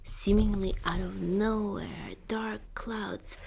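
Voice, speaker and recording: A woman softly whispering a fairytale as ASMR narration, deliberate and breathy. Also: medium pitch, fairly even intonation, background noise. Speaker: storyteller.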